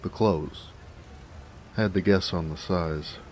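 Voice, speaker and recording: An actor playing a jovial, affectionate big 1920s mobster with an American accent, in a folksy, teasing noir audio drama. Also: male voice, low voice, takes it slowly, darkish tone, a little hiss or hum. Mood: warm, teasing. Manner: folksy, teasing, chatty, chuckling. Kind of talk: noir romance audio roleplay, 1920s gangster slang. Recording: faint rain ambience behind voice. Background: rain.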